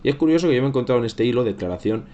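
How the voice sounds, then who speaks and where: A man's voice: medium pitch, lively intonation, rapid-fire, dark tone, muffled recording. A man with an accent from Spain hosts a livestream, commenting casually on current affairs and often repeating and rephrasing himself. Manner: conversational, repeats and rephrases phrases. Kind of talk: casual live news commentary.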